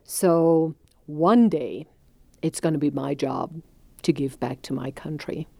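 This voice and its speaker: A woman's voice: sits low, steady tone, slow pace, gravelly, warm tone, no echo. A woman in her forties from South Africa, a native Afrikaans speaker with a South African accent, telling her life story in a reflective interview and laughing easily.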